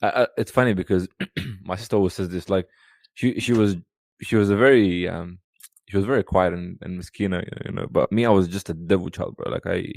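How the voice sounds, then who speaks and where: A man's voice: low voice, steady tone, brisk, gravelly, darkish tone. A man in his thirties from Sudan, a podcast guest, telling childhood stories in a rambling casual way with lots of "you know.". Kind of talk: casual storytelling conversation.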